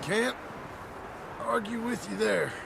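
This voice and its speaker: Wounded freezing cowboy outlaw, weak n creaky, rueful, noisy background. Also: male voice, sits high, animated, slow pace, full character voice. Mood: feeble, rueful. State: weak, cold, exhausted. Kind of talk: video game cutscene acting.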